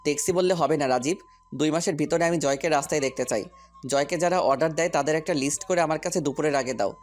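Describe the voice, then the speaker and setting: A man's voice: on the high side, quick pace, soft background music, close to an everyday voice. Firm young businessman hero played by a man. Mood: commanding. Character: determined businessman hero, commanding boss, loyal friend. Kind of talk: audio story acting.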